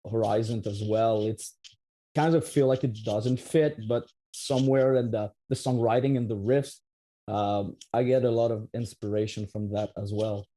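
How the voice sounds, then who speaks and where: Dark-voiced Québécois musician. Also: male voice, on the low side, fairly even intonation, normal speed. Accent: Québécois French. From Quebec, Canada. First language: French. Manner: rambling, many restarts and fillers. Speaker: metal band musician, guest. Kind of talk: casual interview answers.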